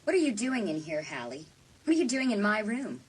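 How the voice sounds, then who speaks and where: Lively, bossy scheming teenage girl. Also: mid-range voice, fairly quick, husky. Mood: suspicious. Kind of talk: dramatised audiobook acting.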